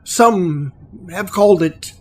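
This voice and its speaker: A man playing a calm, matter-of-fact otherworldly guide to the newly dead in an audio drama, deliberate and detached. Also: sits high, faint music behind. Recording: faint background noise.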